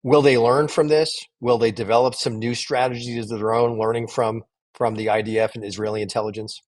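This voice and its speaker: A man's voice: medium pitch, flat delivery, a little fast. A man hosting a podcast, putting questions in a conversational political interview style. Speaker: podcast host.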